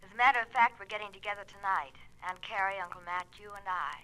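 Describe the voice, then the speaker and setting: A woman's voice: mid-range voice, fast talker, bright, forceful tone, a little hiss or hum, lightly acted, low-quality recording. An actress playing a gracious, playful woman in a 1950s radio drama. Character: gracious, playful woman making a social invitation.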